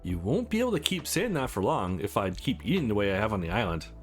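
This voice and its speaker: A man's voice: lowish voice, wildly swooping pitch, fast, soft background music, pitched below the performer's own voice. A man playing an easygoing, sincere male visual novel protagonist in a friendly, lightly teasing way. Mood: self-deprecating.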